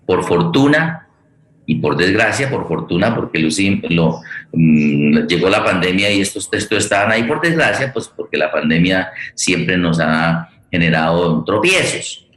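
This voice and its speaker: Deep-voiced Colombian man hosting, echoey room. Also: animated, fairly bright tone. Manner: halting, searching for words. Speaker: host, theatre director and playwright. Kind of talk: conversational, rambling forum hosting.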